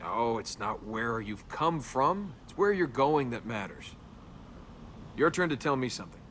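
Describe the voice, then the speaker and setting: Casting: flippant young rogue adventurer for a video game, very lively pitch swings, sarcastic banter, clear background noise. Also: male voice, a little slow. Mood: teasing, evasive. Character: cocky, wisecracking young male adventurer and tomb robber.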